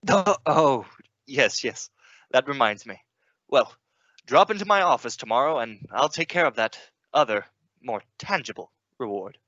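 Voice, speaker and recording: A man's voice: medium pitch, expressive, moderate pace, pushed and bright, no echo, lo-fi sound. A man acting as an eager, flustered middle-aged father in an old-time radio play, hearty and repeating himself. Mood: awkward gratitude.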